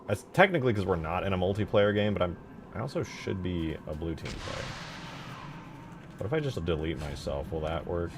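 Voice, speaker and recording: A man's voice: deep voice, expressive, fairly quick, noisy background. A man thinking aloud in casual, informal commentary as he works at a computer, often muttering to himself. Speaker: streamer, game modder. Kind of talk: casual live commentary while scripting a game mod.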